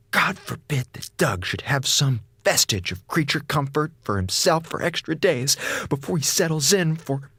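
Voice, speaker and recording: Sardonic, high-voiced space officer. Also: male voice, fairly bright tone, breathy edge, no room sound, studio quality, full character voice. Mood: bitter sarcasm. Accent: American. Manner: theatrical, sarcastic griping. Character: sardonic, aggrieved male space station communications officer. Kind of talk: radio drama acting, comic log monologue. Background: music.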